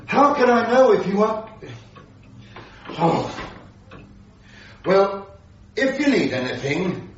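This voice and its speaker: A man's voice: high-pitched, big pitch swings, a little hiss or hum, full character voice. Worked-up husband in his middle years, ranty n emotional, distant and very echoey, radio play. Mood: bitter, defeated. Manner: ranting, halting, emotional. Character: exasperated, pleading middle-aged husband, agitated and bitter. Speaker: actor playing the husband. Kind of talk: radio drama dialogue. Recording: echoey stairwell, distant, muffled radio broadcast.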